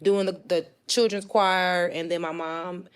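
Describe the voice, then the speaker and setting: A young woman from Mississippi, a guest telling stories in a relaxed chat. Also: lowish voice, measured pace, clear, resonant tone. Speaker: podcast guest. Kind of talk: casual chat.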